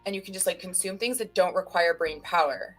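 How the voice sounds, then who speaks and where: A woman's voice: on the low side, a little fast, a bit bright, faint music behind, poor audio. A woman co-hosting a casual livestream, chatting in a rambling, self-deprecating way. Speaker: stream co-host.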